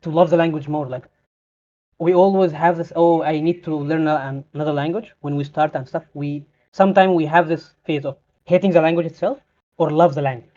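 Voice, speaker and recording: A man interviewing a guest in casual chat, phrasing his questions haltingly and restarting often. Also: on the high side, fairly even intonation, dark tone. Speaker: interviewer, server admin. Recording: dull lo-fi mic.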